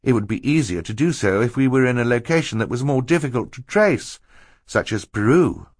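A man reads as a determined young girl in a storybook, exasperated and emphatic. The pitch is raised and swings about in a lively way, and the recording is dry and close. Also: fairly quick, no room sound, lightly acted. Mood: urgent, insistent.

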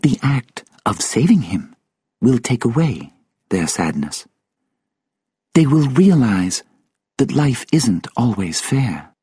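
A man voicing a solemn, grieving woman from Africa in an audiobook story, speaking softly and deliberately, sometimes nervous and guarded. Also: medium pitch, sing-song, husky, dry recording, studio quality, voice pushed up, heavily acted. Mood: solemn, earnest.

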